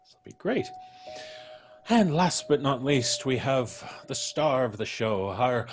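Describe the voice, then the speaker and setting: A man's voice: mid-range voice, very animated, slow, soft background music. A man hosting a live stream in a casual, chatty, jokey way. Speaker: podcast host.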